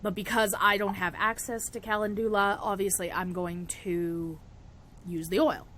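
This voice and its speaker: A young woman whose voice is raspy from a cold talks through a hands-on craft tutorial in a loose, chatty way. She laughs a lot and keeps stopping herself mid-sentence. Her pitch sits in the middle range, and a faint hum can be heard in the background. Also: steady tone, normal speed, fairly bright tone. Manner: chatty, laughs often, self-interrupting. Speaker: witchcraft vlogger. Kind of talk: casual tutorial chat.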